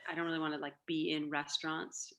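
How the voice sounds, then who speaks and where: A woman's voice: deep voice, level tone, fast talker, fairly bright tone. A woman in her forties chatting casually on a video call, rambling along with plenty of fillers. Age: 40s.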